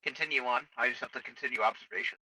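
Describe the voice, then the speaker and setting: A clinical military technician from a sci-fi roleplay game voiced by a man. The voice is extremely bright and tense, recorded close and dry, and explains in formal, halting phrases. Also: mid-range voice, slightly rough, no room sound, rough recording. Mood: clinical, detached. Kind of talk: improvised in-character game roleplay.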